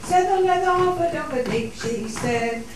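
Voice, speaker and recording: A woman's voice: high-pitched, lively intonation, moderate pace. A woman singing an unaccompanied folk song in dialect. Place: studio theatre. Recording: echoey hall, distant, clear noise.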